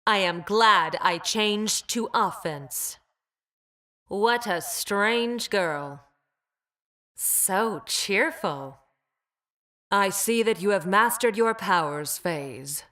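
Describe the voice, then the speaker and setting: A cold, cat-like female bioweapon from a video game. She speaks slowly at a medium pitch, with measured, exact diction. Her manner is formal, clinical and superior, detached from those around her. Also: a bit bright. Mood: detached, dry, then stern, formal. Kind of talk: video game character voice lines.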